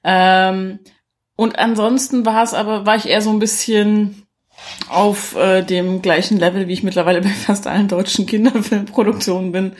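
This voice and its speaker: A woman's voice: medium pitch, fairly even intonation, normal speed. A woman co-hosting a podcast, chatting casually about films with a mid-range voice. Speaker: podcast co-host. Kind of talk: casual film review chat.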